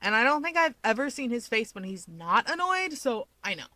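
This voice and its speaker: A woman's voice: a little high, very animated, a little fast, bright, forceful tone, no echo, voice pushed up. A woman voicing an earnest, teasing young man who keeps pushing in a casual way. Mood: puzzled, insistent.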